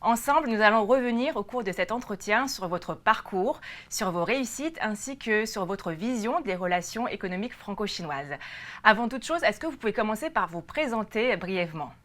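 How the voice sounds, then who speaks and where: A woman's voice: medium pitch, expressive, normal speed, fairly bright tone. A woman working as a television interviewer, putting formal, prepared questions in a polite, courteous way. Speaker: TV interviewer and host.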